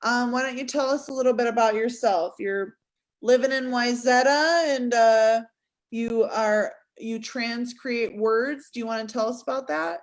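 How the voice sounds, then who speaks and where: A woman's voice: on the high side, unhurried. A woman hosting an online open mic, casually inviting a guest to introduce himself.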